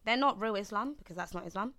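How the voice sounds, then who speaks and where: A woman's voice: mid-range voice, fast, fairly bright tone, no room sound. A woman co-hosting a podcast, asking questions and giving earnest opinions in casual chat. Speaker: podcast co-host.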